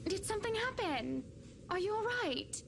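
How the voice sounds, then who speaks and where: An actress voicing a concerned, fussy well-to-do wife in a visual novel game, gently chiding, with music underneath. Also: female voice, extremely high pitch, big pitch swings, normal speed, vocal fry, noisy background, exaggerated voice.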